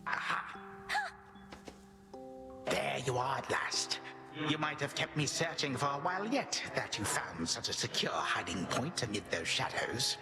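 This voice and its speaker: An echoing, music-backed game villain: an ancient god of time. He speaks in grand archaic phrases with a sly, bitter edge. His breathy voice rises and falls dramatically. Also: mid-range voice, lively intonation, a little slow, pauses often. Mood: playful, indulgent. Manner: grandiose, archaic, theatrical, sardonic. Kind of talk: video game voice acting. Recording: loud music behind, echoing room. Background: loud game music.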